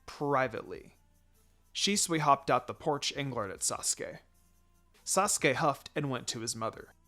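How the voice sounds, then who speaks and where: A man narrating a story in the first person in an expressive, dramatised way. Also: mid-range voice, normal speed. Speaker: fan fiction reader. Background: background music.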